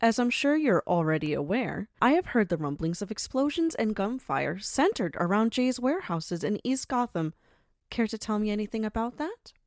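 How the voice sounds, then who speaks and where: A woman's voice: medium pitch, sing-song, moderate pace, no echo, studio quality. A woman playing a courteous but commanding underworld matriarch in an audio drama, stately and formal. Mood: composed, businesslike.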